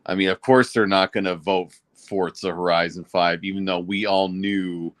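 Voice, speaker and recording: A man with a very low voice talks through his view in a laid-back way on a gaming podcast. His voice sounds close and dry, with no room sound at all. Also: steady tone, moderate pace, dry recording. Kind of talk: casual gaming podcast chat.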